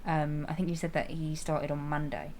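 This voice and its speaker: A woman streaming a game, chatting casually with viewers and laughing often, her voice slightly raspy. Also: deep voice, little pitch movement, moderate pace, darkish tone, a little hiss or hum. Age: adult with a school-age child. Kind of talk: casual live-stream chat while playing.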